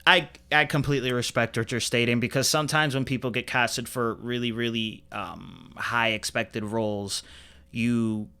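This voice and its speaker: Man, podcast co-host, bright crisp voice, casual chat, opinionated, emphatic, slangy. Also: medium pitch, fairly even intonation, moderate pace. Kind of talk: casual pop culture chat.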